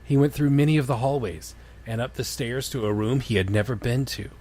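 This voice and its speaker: A man's voice: mid-range voice, brisk, mellow. A man co-hosting a comedy podcast, reading a story aloud and breaking off for jokey, casual comments. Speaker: podcast co-host reading fan fiction aloud. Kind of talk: comic dramatic reading with casual banter.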